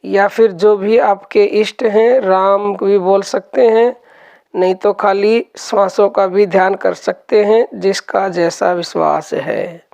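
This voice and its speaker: Slow-paced woman guiding meditation. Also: mid-range voice, vocal fry. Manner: calm, instructive, repeats phrases gently. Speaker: meditation guide. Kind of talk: guided meditation instruction.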